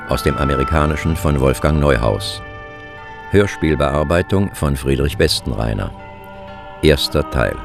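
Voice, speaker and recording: A man's voice: rumbling low, creaky. A man announcing radio drama credits as a scripted reading.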